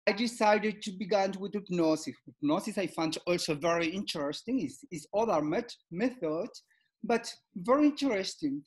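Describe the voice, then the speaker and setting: A man in his fifties from Peru, answering interview questions in halting English and often repeating words. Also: sits high, animated. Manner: halting, repeats words, non-native phrasing. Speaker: guest psychoanalyst.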